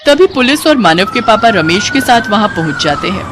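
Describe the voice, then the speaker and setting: A woman's voice: fairly high pitch, very animated, fairly quick, background noise. A woman storyteller reading a scripted tale as narrator.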